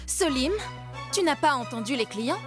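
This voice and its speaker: A woman playing a welcoming but fretful innkeeper mother in a French cartoon, gently scolding and apologetic. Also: high-pitched, lively intonation, normal speed. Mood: mild reproach. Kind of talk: French animated series dubbing. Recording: music behind the voice.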